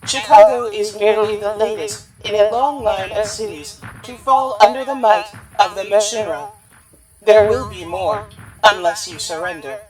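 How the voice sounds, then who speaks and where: A woman playing a haughty machine overlord who taunts in a formal, measured, condescending way in an audio drama. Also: mid-range voice, steady tone, distant mic, over music, natural voice, low-quality recording. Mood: smug, threatening. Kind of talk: sci-fi audio drama acting.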